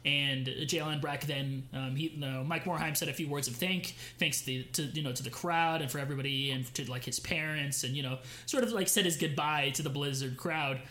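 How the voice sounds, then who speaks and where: Bright-voiced young man recapping casually. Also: a little high, brisk, few pauses. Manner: storytelling, lots of fillers and restarts. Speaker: podcast co-host.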